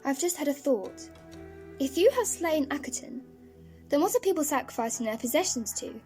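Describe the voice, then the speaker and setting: A woman's voice: high voice, expressive, normal speed, a little mellow, faint music behind. A woman playing an earnest, questioning teenage granddaughter in a fan audio drama. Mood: concerned, curious.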